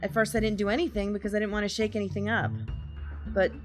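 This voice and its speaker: An actress playing a nervous, streetwise young woman who talks to police in a hurried, confiding way in an audio drama. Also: medium pitch, little pitch movement, music in the background, natural voice.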